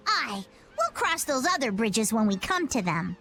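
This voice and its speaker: A very high, swooping little pirate girl from a video game. She is cheerful and bold, with a quaint seafaring way of talking. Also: sing-song, normal speed, pushed and bright, a little hiss or hum. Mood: bold, carefree. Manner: quaint seafaring turns of phrase. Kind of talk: English video game voice acting.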